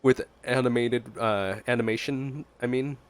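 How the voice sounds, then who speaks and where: A man's voice: mid-range voice, fairly even intonation, unhurried. A man giving casual, slangy, chatty reaction commentary as he plays a video game. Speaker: gaming streamer. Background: faint game music.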